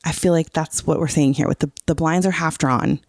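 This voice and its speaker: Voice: mid-range voice, steady tone, fairly quick, gravelly, no echo, studio quality. A podcast co-host chatting casually, explaining song lyrics and often checking that the listener follows. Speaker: teacher.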